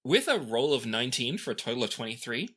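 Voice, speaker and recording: A man with a bright, forward voice of medium pitch narrates as game master. He addresses the players directly as he tells the story of a tabletop role-playing game. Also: big pitch swings, quick pace, slightly rough. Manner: second-person storytelling.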